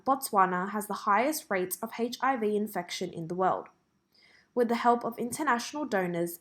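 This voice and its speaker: A woman with an Australian accent reads scripted practice passages aloud in a measured, careful way. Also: medium pitch, fairly bright tone. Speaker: reader of English test practice passages.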